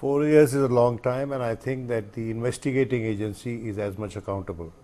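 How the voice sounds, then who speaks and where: A man with an Indian accent commenting as a journalist on a studio debate panel, measured and emphatic. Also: mid-range voice, fairly even intonation, dark tone.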